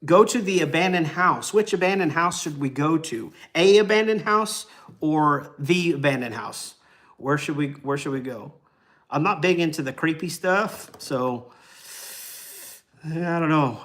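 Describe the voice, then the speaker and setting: A man's voice: medium pitch, a bit bright. A man of forty, chatting casually and rambling with lots of ums while hosting a travel livestream. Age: 40s. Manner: rambling and easygoing, many ums and uhs, chuckles.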